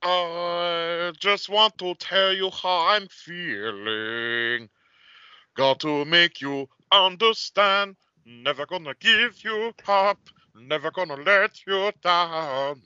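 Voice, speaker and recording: A man's voice: fairly high pitch, slow, bright, forceful tone, clean tone, no echo, dull audio. A man singing a pop song in a comic parody style.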